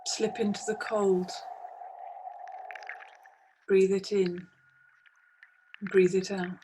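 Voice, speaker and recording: A woman leads a guided meditation at a very unhurried pace. Her phrases are short and hypnotic, set apart by long pauses, and her pitch stays flat and steady. An ambient soundscape and noticeable noise sit behind her voice. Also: poor audio. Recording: clearly audible background noise, ambient soundscape.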